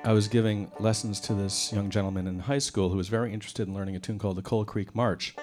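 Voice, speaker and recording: A middle-aged man, a banjo player, chatting with wry, relaxed humor between tunes on a concert stage. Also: fairly low pitch, quick pace. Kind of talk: onstage banter between tunes. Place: concert stage before a live audience. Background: banjo music.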